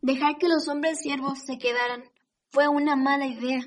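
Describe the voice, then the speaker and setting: A high-voiced female Aztec royal advisor played by a woman. She is loyal and earnest, speaking with dramatic weight as in a radio drama. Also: fairly even intonation, moderate pace, natural voice. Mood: reproachful, alarmed.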